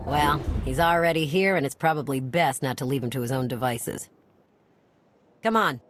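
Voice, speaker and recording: A woman's voice: rumbling low, moderate pace, slight background noise. A woman playing a blunt, pragmatic smuggler in a video game, wry and informal. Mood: wry, dry. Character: blunt, pragmatic female smuggler running supply lines.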